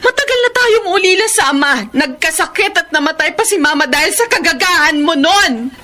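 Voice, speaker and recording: A woman's voice: extremely high pitch, animated, fairly quick, sharp tone, exaggerated voice. A woman playing a hot-tempered elder sister in a Filipino radio drama, berating sharply and melodramatically. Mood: angry, berating.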